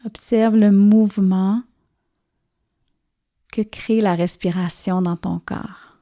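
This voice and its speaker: A woman leads a guided relaxation as a yoga nidra teacher. Her voice is mellow and warm, at an ordinary pitch for a woman. She speaks very slowly and softly, leaving gentle pauses between soothing phrases. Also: steady tone. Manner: soft, soothing, gently pausing. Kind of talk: guided relaxation meditation narration.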